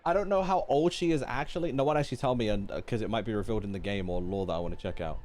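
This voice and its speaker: A man's voice: fairly low pitch, racing, darkish tone. A man doing casual, playful game reaction commentary as a streamer, in an informal way. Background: game music.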